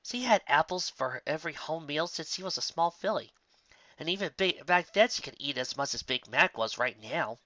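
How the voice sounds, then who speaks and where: Amateur fan fiction narrator: lively, bright delivery, dry close mic, slightly dull sound. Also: medium pitch, normal speed, dry recording. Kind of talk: animated story narration with character voices.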